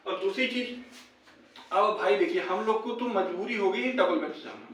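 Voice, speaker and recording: A man's voice: sits high, normal speed, fairly bright tone. A young man gives an informal update, explaining and urging with frequent repetition. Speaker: video host addressing fellow job candidates. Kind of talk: informal update and appeal to viewers. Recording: very echoey room, distant microphone.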